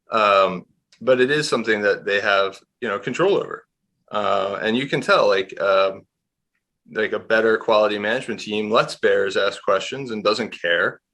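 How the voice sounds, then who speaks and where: Male financial analyst, low-pitched and forceful, candid, loosely rambling delivery for conversational market commentary. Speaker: industrials analyst.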